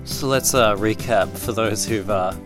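Low-voiced man over music. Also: unhurried. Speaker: podcast co-host. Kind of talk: casual comedic chat. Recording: music playing over the talk.

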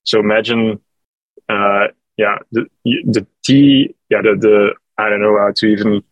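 Male company rep, lower pitch, hesitant explainer, dry sound. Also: steady tone, no echo, muffled recording. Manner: hesitant, halting with many fillers and restarts. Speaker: app company representative answering questions. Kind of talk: casual call-in Q&A.

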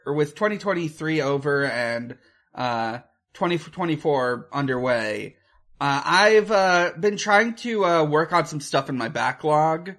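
A man chats casually as a podcast co-host in a bright, slightly high voice. His delivery is slow and halting, with frequent hesitations and fillers as he feels his way through each thought. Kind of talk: casual chat about films and video games.

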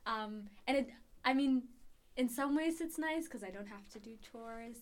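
A woman's voice: on the high side, normal speed. A woman in her early twenties from Ethiopia, reflecting conversationally on her own life as a podcast guest. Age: early 20s. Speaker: podcast guest, university student.

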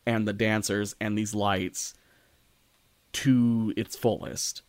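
A man with a slightly low voice describes stage productions in casual conversation, recorded dry. He feels his way through each thought, halting and restarting as he hunts for the right word. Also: fairly even intonation, no echo. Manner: halting, searching for words, hedging with many stammered restarts. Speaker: podcast co-host.

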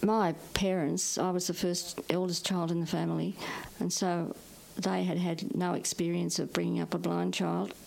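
An Australian woman tells her own story in an interview. Her voice is on the low side and stays flat and even as she recollects. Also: moderate pace, creaky, faint music behind, rough recording. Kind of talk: plain personal recollection.

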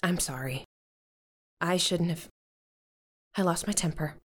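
A woman's voice: on the low side, a little slow, breathy edge. A middle-aged American woman playing a stressed, stern but loving mother in a modern audio drama. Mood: apologetic, pained.

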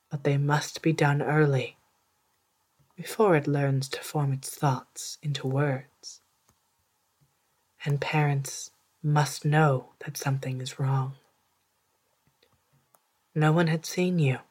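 A narrator with an androgynous, mid-pitched voice reads a literary story at a slow pace. The delivery is hushed and deliberate, with a soft, breathy edge and careful pauses between phrases. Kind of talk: scripted literary narration in second person, storytelling.

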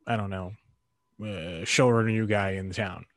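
A man with a fairly low voice, recorded dry with little room sound. He talks casually, working out his opinions aloud in halting, restarted phrases. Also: fairly even intonation, dry recording. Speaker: karate practitioner in discussion. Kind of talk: casual conversation.

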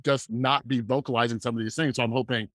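A man's voice: mid-range voice, fairly even intonation, quick pace, dry recording. A man hosting a podcast in a casual, conversational interview style, talking energetically in loose, filler-heavy runs. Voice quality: loud voice. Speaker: podcast host.

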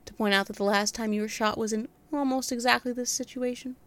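A woman's voice: fairly high pitch, steady tone, fast, close to an everyday voice. A woman playing a wisecracking male detective's assistant in a noir audio drama, speaking wryly and teasingly. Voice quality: wry and teasing.

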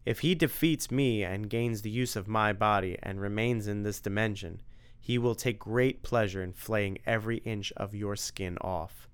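A man voicing a solemn, formal warrior hero in a read-aloud story, speaking gravely. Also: lowish voice, moderate pace, close to an everyday voice.

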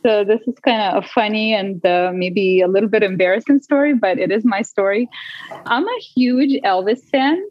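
A woman, a podcast guest telling her story in a casual interview, animated and quick to laugh. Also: mid-range voice. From Russia. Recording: dry, dull lo-fi call audio.

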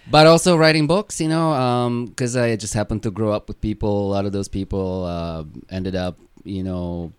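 A man's voice: fairly low pitch, moderate pace, few pauses, clean tone, no room sound. A man with a Russian accent, a guest on a podcast, chatting casually and laughing often with swearing and self-deprecating jokes. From Soviet Union (Russia).

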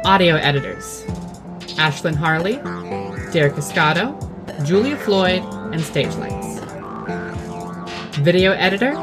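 Female credits announcer, very low pitch, scripted and careful read, clear music bed underneath. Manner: careful spelling-out of names.

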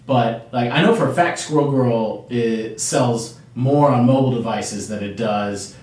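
A man co-hosting a casual podcast, talking in a relaxed, rambling way. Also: mid-range voice, measured pace, distant mic. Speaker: podcast co-host. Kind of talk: casual chat. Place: small room. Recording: roomy small room.

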